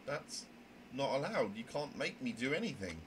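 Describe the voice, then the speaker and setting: A man's voice: medium pitch, very animated, moderate pace, a bit bright. A man voicing an anxious, rule-bound young trainee in a visual novel, earnest and flustered, stammering with indignation. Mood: indignant, worked up. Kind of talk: amateur voice acting of a visual novel. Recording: noisy home recording.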